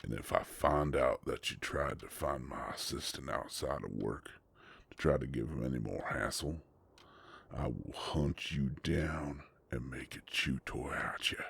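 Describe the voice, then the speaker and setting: A man acts out a gruff werewolf private eye in an audio drama. His voice is extremely low and full of gravel, and he takes his time with every word. He comes across as a protective, sardonic boss, menacing in a folksy tough-guy way. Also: a bit bright, no echo, exaggerated voice. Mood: growling threat. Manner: slow, drawling, threatening, folksy tough-guy talk. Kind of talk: dramatic roleplay audio monologue.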